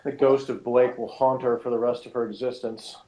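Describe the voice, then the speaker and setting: A man's voice: medium pitch, level tone, brisk, dark tone. A man in a casual, opinionated discussion, rambling and qualifying his points, with some exaggeration for effect. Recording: roomy, echoing sound.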